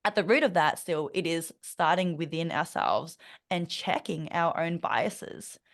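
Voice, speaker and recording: Earnest Australian woman, low voice. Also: animated, unhurried. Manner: earnest, thoughtful, many fillers and restarts. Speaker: podcast guest. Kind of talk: conversational interview.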